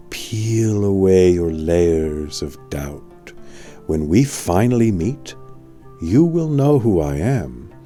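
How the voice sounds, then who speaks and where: A wise old wizard played by a man teaches in an audiobook tale. The voice is very deep and mellow, with a calm, solemn, measured delivery, like an immortal mentor instructing a pupil. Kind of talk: audiobook storytelling.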